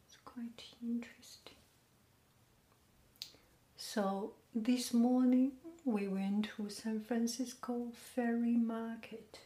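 A woman hosting a podcast, talking softly and gently in a half-whisper, in a relaxed, unhurried chat. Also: fairly high pitch. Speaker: podcast host. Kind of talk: soft, slow casual chat. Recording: roomy echo with faint noise.

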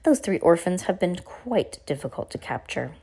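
A woman's voice: lowish voice, very animated, moderate pace, vocal fry, warm tone, breathy edge, heavily acted. A woman voicing a callous male henchman from a storybook villain's troupe in a matter-of-fact manner. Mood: complaining, matter-of-fact.

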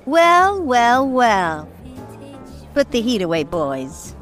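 A sultry, dangerous hostess in a noir radio play. She is teasing and self-assured, with pitch that moves freely over prominent background music. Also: big pitch swings, extremely slow, fairly bright tone, full character voice. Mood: sultry, welcoming. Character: sultry, sassy, dangerous nightclub hostess woman. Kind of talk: noir radio drama acting.

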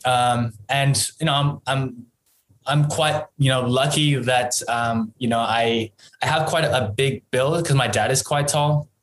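A man's voice: mid-range voice, fairly even intonation, measured pace, poor audio. Aussie guy in his 30s, casual interview chat, rambly and restarting, bit of noise behind him. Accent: Australian. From Australia. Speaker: podcast guest, entrepreneur. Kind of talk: casual conversational storytelling.

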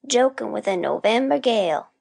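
Woman voicing old shore man, gravelly, high, grave, audiobook. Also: expressive, normal speed, a little mellow, full character voice.

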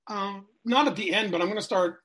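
A man's voice: sits high, brisk, a bit bright. A middle-aged man, a sales coach telling his life story as a podcast guest, candid and rambling with many fillers. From New Hampshire, USA.

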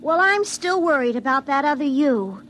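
Young sweetheart, high-pitched, prim, sweet, fretful, small-town girl, vintage radio drama. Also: very animated, normal speed, fairly bright tone, slight background noise. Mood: fretful, suspicious. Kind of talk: 1950s radio drama acting. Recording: vintage 1950s radio recording.

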